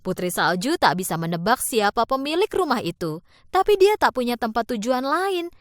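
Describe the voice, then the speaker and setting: A woman narrating a children's fairy tale from a script, in an expressive storytelling manner. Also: a little high, normal speed, no echo, clean studio sound.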